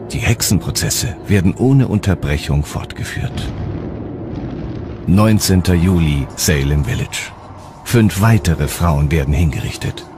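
Deep-voiced male documentary narrator over music. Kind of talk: scripted dramatic narration.

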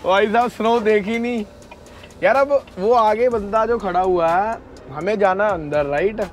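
Trucker guy vlogging, pretty high voice, chatty and animated, talking to his viewers. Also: measured pace, slight background noise. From India. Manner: animated, addresses viewers as brother. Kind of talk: casual vlog chat to viewers. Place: truck cab and snowy yard outdoors.

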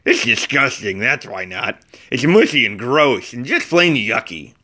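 A storyteller voicing a bossy, scornful paper Valentine heart in a loud, dramatic storybook style. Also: medium pitch, very animated, unhurried, no room sound, full character voice.

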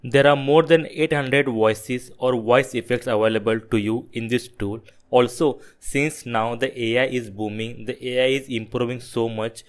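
Man presenting a tech tutorial. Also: mid-range voice. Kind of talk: screen-recorded software tutorial narration.

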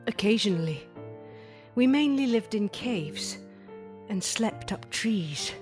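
A woman playing a tender, dignified non-human lady who narrates her memories in a measured, literary way in an audio drama. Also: mid-range voice, expressive, faint music behind. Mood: tender, reflective.

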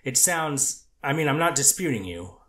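A man's voice: mid-range voice, very animated, fairly bright tone. A man reading a hedging counselor witness, echoey. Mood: measured. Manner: hedging, halting with many restarts. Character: earnest male counselor on the witness stand. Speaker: treatment counselor testifying as a witness. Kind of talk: amateur readers theater reading of a court transcript.

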